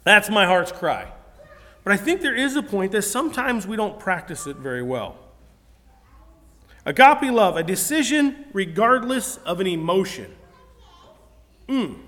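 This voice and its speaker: A man's voice: high voice, sing-song, unhurried, long pauses, bright, forceful tone. A man preaching a sermon to a congregation, earnest and conversational, often restarting his sentences. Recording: long hall reverb.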